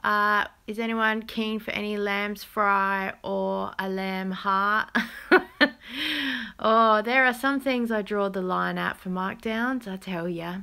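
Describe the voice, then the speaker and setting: A woman's voice: mid-range voice, steady tone, takes it slowly, creaky, pushed and bright, clear, resonant tone. A woman chatting casually as she films the shelves on her phone, pointing out bargains with an easy laugh. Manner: chatty, thrifty, laughs now and then. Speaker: vlogger. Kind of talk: casual shopping commentary. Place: supermarket aisles, later at home. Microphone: phone camera held in hand.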